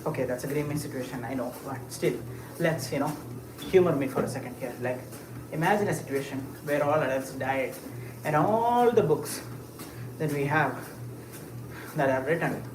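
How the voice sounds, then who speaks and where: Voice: mid-range voice, lively intonation, normal speed. Rambling livestreamer in an echoey space. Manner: rambling, musing aloud with frequent fillers and short laughs; talks while walking. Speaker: livestreamer answering chat. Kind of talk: casual live chat. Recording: echoey space with clear ambient noise.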